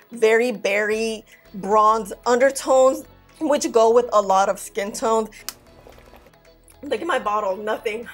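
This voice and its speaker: A woman in her thirties, a beauty vlogger, giving a loud, excitable, chatty makeup review straight to camera at home. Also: a little high. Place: indoor filming set with plain backdrop.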